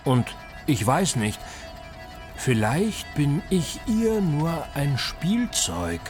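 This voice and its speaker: A man's voice: fairly high pitch, big pitch swings, extremely slow, a little mellow, full character voice. An actor playing a dreamy, infatuated man who muses and savours his words in a radio play. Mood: dreamy, musing. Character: dreamy, infatuated, musing male city stroller. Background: music.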